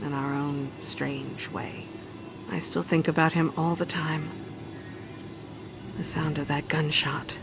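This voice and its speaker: A woman playing a solemn, loyal royal advisor in a fantasy video game, measured and wistful. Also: level tone, exaggerated voice. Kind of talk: video game dialogue acting. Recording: clear ambient rumble behind the voice.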